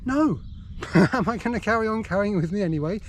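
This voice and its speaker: A man's voice: sits high, lively intonation, a little fast, a little hiss or hum. Jokey English man, outdoor hiking vlog. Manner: jokey, self-mocking. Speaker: vlogger. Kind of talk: casual vlog chat while hiking. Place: outdoors on a hill walk. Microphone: handheld camera.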